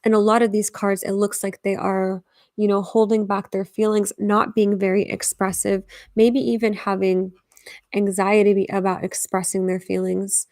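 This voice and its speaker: A woman's voice: medium pitch, flat delivery, moderate pace, a little mellow, no echo, clean studio sound. A woman doing a live tarot reading in a chatty, think-aloud way, with many fillers and some whispered asides. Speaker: tarot reader.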